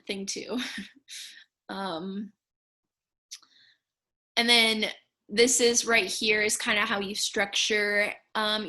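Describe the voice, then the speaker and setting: Bright-voiced young woman explaining casually. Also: on the high side. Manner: casual, tentative, hedging with "kinda" and "I guess". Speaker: speech and debate coach or student instructor. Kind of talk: informal slideshow lesson, explaining.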